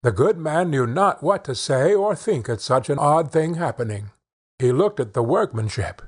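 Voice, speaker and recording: A man narrating a children's fairy tale in an expressive, measured storytelling manner, with a slightly husky voice. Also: on the high side. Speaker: audiobook narrator.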